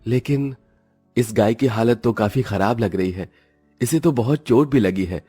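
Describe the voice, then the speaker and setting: A man voicing a poor, kind village milkman and father in a cartoon story, speaking gently and humbly. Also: mid-range voice, measured pace, breathy edge, soft background music.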